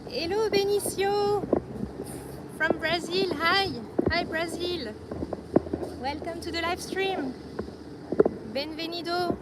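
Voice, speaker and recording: Brief: woman vlogger, high voice, slow and hesitant, loud city street behind. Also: steady tone, heavy background noise. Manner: hesitant, searching for words, light laughs. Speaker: live-stream vlogger. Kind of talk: casual live-stream walking tour. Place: outdoors on busy city streets.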